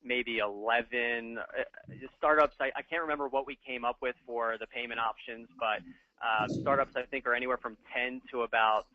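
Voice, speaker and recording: A man explaining equipment financing in a steady, plain way during a webinar. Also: medium pitch, moderate pace. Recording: tinny phone-like line.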